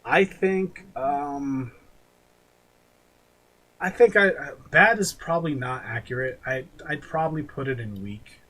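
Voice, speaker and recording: A man's voice: on the high side, a little slow, sharp tone, poor audio. A man hosting a podcast in casual, informal chat with some swearing. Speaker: podcast host. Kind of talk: casual chat, film ranking banter.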